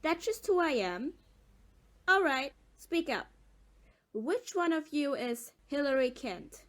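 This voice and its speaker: Audio play menace played by a woman: high, piercing, deliberate delivery, defiant and commanding. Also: animated, fairly bright tone, full character voice. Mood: threatening. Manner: commanding, slow, threatening.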